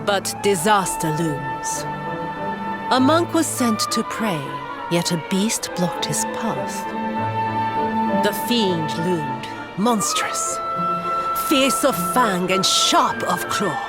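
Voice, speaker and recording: A proud village noblewoman from a fantasy game. She speaks slowly in formal, poetic phrases, fierce yet courteous, at a middle pitch. Loud music plays behind her. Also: big pitch swings. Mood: grave storytelling. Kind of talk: dramatic video game voice acting.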